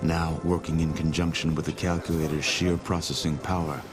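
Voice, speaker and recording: A solemn narrator for a post-apocalyptic video game epic. The voice is deep and grave, with a measured, portentous delivery. Music plays clearly beneath the scripted narration. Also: male voice, little pitch movement. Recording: music under the voice.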